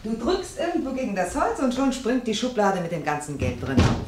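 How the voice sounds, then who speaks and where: A woman's voice: on the high side, wildly swooping pitch, a little fast, loud music behind the voice. A teasing young girlfriend in a radio mystery, swooping animatedly through sarcastic banter in a roomy space. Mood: mocking, amazed. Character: teasing, sharp-tongued young girlfriend, mock-servile. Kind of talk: German radio crime drama acting. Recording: roomy sound, faint noise.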